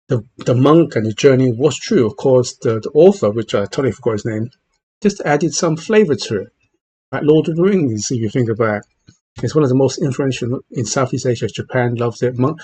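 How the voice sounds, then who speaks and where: A man's voice: mid-range voice, darkish tone, husky. A middle-aged man who grew up in China, speaking with an English accent and a trace of his native Cantonese, giving casual gaming commentary full of restarts and repeated words. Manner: talks in bursts, many restarts and repeated words. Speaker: gaming channel host.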